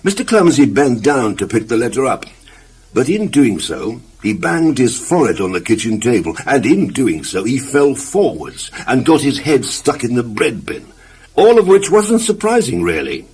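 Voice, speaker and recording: A man reads a children's story with very lively, swinging intonation. His mid-pitched voice has a husky grain, and he leans on key words as he addresses the listener. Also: normal speed. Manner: expressive, emphatic, addresses the listener. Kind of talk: scripted children's story narration.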